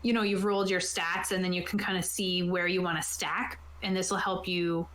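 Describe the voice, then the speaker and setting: A woman's voice: lowish voice, little pitch movement, fairly quick, fairly bright tone, echoey room. Woman casually reading tabletop game rules aloud. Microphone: own microphone on an online voice call.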